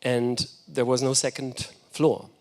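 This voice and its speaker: A man's voice: medium pitch, level tone, slow. Enthusiastic German-native man lecturing. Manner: enthusiastic, reflective storytelling. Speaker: guest lecturer. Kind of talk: architecture lecture.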